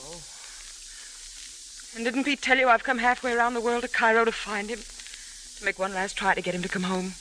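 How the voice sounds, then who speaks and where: Bitter young American wife, bright, tense voice, brittle delivery, slightly noisy vintage radio drama. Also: female voice, a little high, brisk, pauses often. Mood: wistful. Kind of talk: 1940s radio melodrama acting. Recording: faintly noisy old radio recording.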